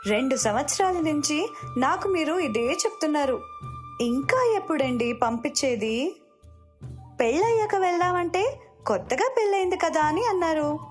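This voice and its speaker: నటి పోషించే చాలా హెచ్చు స్వరంతో వాదించే నిరాశ చెందిన గృహిణి. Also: female voice, high-pitched. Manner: complaining, insistent. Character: frustrated housewife longing to become a teacher. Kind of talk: moral story dialogue acting.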